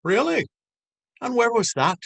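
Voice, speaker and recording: A man's voice: very high voice, big pitch swings, measured pace, gravelly, a bit bright, husky, exaggerated voice. An actor playing a bullying, sceptical Dublin policeman in a stage reading, mocking and officious. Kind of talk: stage readers theater acting.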